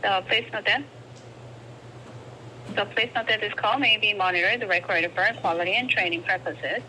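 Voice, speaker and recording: Lady doing a phone survey, bright voice, polite but keeps repeating questions, on speakerphone w/ a bit of noise. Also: mid-range voice, moderate pace. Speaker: telephone survey interviewer. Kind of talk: scripted phone survey with hesitant ad-libs. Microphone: phone call picked up by a camera in a garage. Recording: noisy phone call over speakerphone.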